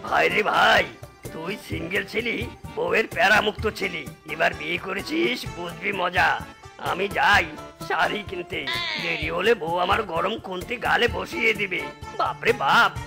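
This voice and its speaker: A woman's voice: lowish voice, animated, normal speed, vocal fry, music in the background. A woman voicing a henpecked male animal friend in a cartoon, teasing and scolding in disbelief. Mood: mocking, harried. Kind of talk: exaggerated Bangla cartoon acting.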